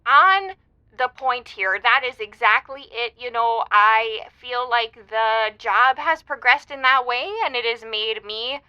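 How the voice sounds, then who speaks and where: A woman's voice: on the high side, a little slow, clean tone, no echo, muffled recording, close to an everyday voice. A woman playing a chirpy, aggrieved married meteorologist who calls a radio show in an improvised audio comedy, gushing and hesitant. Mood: awkward, wry. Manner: gushing, giggly, hesitant phrasing.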